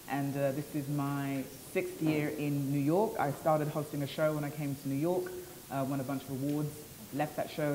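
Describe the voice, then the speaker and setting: A British woman introducing herself briskly on a panel, rattling off her work. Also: deep voice, in a large hall, a little hiss or hum, rough recording. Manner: brisk, energetic, lists achievements. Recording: loud crowd behind.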